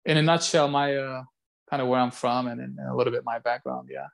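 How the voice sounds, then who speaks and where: A man from Belgium answers interview questions in a casual way. His voice sits at a medium pitch, and he speaks haltingly, often doubling up on words as he puts his thoughts together. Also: fairly even intonation, vocal fry. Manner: halting, repeats words as he goes. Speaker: interview guest, call center site director.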